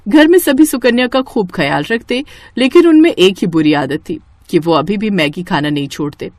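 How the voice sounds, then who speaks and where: Animated woman storyteller, dry close sound. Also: mid-range voice, normal speed, no echo. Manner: dramatic, storytelling delivery. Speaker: story narrator. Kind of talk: expressive scripted Hindi moral-story narration.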